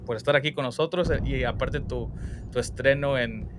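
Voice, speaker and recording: A host of a live stream, casual and lively, with many hesitations while welcoming a guest. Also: mid-range voice, moderate pace, noisy background. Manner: hesitant, filler-heavy, lively.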